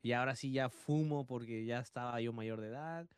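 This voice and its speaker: A man's voice: medium pitch, a little fast, smooth, no echo, clean studio sound. A man in his thirties with a Mexican accent, chatting casually as a podcast co-host, swearing and laughing as he tells stories. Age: 30s. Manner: slangy, swears a lot, laughs often. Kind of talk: casual chat, storytelling.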